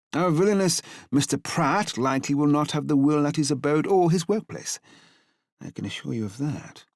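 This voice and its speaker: An actor playing a grandiloquent, paternal older policeman with flowery wording and dramatic pauses in an audiobook drama. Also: mid-range voice, no room sound, clean studio sound. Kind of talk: full-cast audiobook acting.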